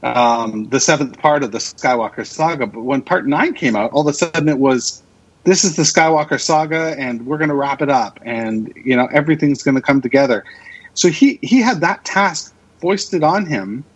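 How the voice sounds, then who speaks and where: A man's voice: medium pitch, animated, moderate pace, husky, highs cut off. A man chatting casually and with opinions as a podcast guest, speaking with enthusiasm and emphatic repetition. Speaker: podcast guest, radio drama producer.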